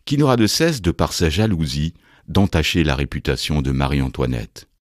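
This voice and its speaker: A man's voice: very deep voice, expressive, normal speed, no echo, studio quality. A man reading scripted documentary narration as the narrator.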